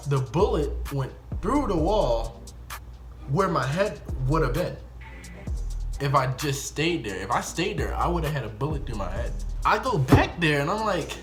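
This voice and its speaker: A young man with an American accent telling a casual, slangy first-person story in an animated way, acting out bits of dialogue. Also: a little high, measured pace, over music. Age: young adult. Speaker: YouTube storyteller.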